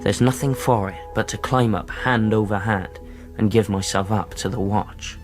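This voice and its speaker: An actor playing an earnest, plucky young sailor lad in a radio drama, speaking both dialogue and first-person narration. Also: male voice, lowish voice, fairly even intonation, moderate pace, over music, lightly acted. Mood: tense storytelling.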